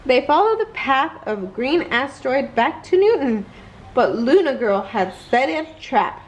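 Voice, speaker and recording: Animated, high-voiced woman reading a story. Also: a little slow, poor audio. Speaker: children's story reader. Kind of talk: expressive bedtime read-aloud. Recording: clear background noise.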